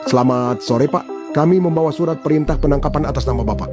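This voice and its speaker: Brisk, formal policeman, acted by a man. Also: fairly high pitch, over music, close to an everyday voice. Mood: calm, firm. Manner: formal, measured, authoritative. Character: calm, formal, firm police officer. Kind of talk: animated drama dialogue.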